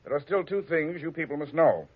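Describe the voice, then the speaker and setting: A man's voice: on the high side, animated, brisk, slightly rough. An actor playing a flustered, fussy old male lawyer who stammers and dithers, in 1940s radio acting on a dull lo-fi old radio recording. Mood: formal. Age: older. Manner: stammering, dithering, chuckles to himself.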